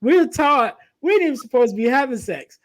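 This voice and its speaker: Soft-voiced man hosting a podcast. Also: extremely high pitch, brisk. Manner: animated, frequent "you know what I mean". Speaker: podcast host. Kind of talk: casual conversational interview.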